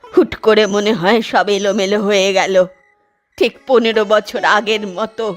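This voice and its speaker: A woman's voice: mid-range voice, steady tone, normal speed, faint music behind, lightly acted. A woman voicing a grieving, affectionate old village aunt in a cartoon, with a plaintive, homely manner. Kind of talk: cartoon voice acting.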